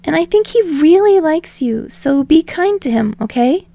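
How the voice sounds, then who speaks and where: A woman's voice: expressive, moderate pace, gravelly, dry recording. A woman voicing an earnest, nerdy young man with a light voice and a nervous, rambling manner, as in an audiobook. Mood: gentle. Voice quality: high, light voice. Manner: rambling, anxious, gently insistent. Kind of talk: audiobook character dialogue.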